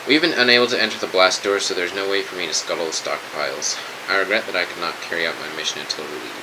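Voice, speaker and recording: A man's voice: sits low, close to an everyday voice. A man playing a weary, cautious soldier in a post-apocalyptic audio drama, speaking in terse military radio talk. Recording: steady hiss, noisy radio-style recording.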